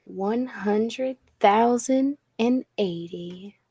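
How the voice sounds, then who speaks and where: A woman playing a bold, absorbed professor and inventor in a 1940s-style radio play, with a deliberate, commanding, theatrical delivery. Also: fairly high pitch, dark tone, husky, exaggerated voice. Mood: calm wonder. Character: Dudley, bold, absorbed scientist and professor inventor of a time machine.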